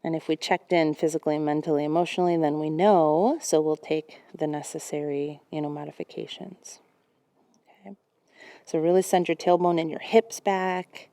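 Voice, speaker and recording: A young woman guiding a yoga class in a gentle, unhurried, conversational way. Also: low voice, steady tone, clear, resonant tone, no echo. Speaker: yoga instructor. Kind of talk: guided yoga class instruction.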